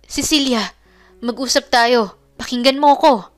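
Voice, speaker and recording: A woman's voice: high voice, unhurried, voice pushed up. A woman narrator playing a cheating young boyfriend, urgent and pleading, in a melodramatic romance story.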